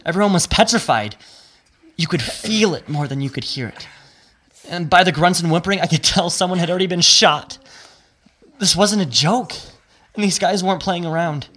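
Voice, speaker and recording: A man's voice: high voice, fairly bright tone. A man playing a grieving, shaken robbery survivor giving emotional testimony in an audio drama. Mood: grave, narrating. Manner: halting, emotional testimony turning to storytelling. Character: shaken, grieving male bank robbery witness, prickly, raw. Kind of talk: audio drama acting, first-person narration.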